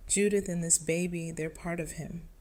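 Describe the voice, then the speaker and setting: A woman's voice: fairly low pitch, fairly even intonation, moderate pace, a little mellow, lightly acted. An actress playing a resilient, weary widowed mother who speaks frankly in an audio drama. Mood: tender sorrow.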